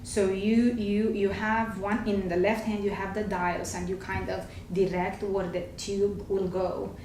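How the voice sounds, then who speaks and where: A woman's voice: medium pitch, level tone, unhurried, a little mellow, far from the mic. Woman with Romanian accent, careful explainer, echoey room, mic set back, faint hiss. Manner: explanatory, careful, with hesitant fillers. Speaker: gastroenterologist guest. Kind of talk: talk show interview.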